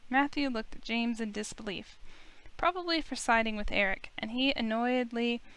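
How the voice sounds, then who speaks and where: Clear, higher-pitched woman reading game story. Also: normal speed, fairly bright tone, rough recording. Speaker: gaming streamer reading a visual novel aloud. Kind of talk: expressive story read-aloud of game text.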